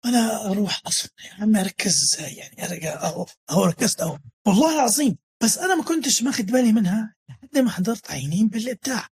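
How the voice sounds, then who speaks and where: A man in his thirties with a Levantine Arabic accent shares his experience as an interview guest. His voice is husky and his speech is quick. He stumbles and restarts words as he goes. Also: high-pitched, lively intonation, darkish tone. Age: 30s. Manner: halting, restarts words, mixes in English terms. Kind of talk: casual interview, telling his story.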